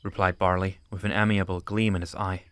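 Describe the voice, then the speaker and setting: A man's voice: deep voice, level tone, brisk, a bit bright, clean tone, dry recording. A man narrating an audiobook story from a script.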